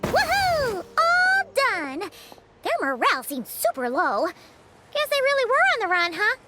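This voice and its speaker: A woman voicing a small, excitable, chatty fairy companion with a squeaky childlike voice in a video game. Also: a little slow, heavy background noise, heavily acted. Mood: excited. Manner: excitable, exaggerated, refers to self in third person. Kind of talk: animated video game voice acting.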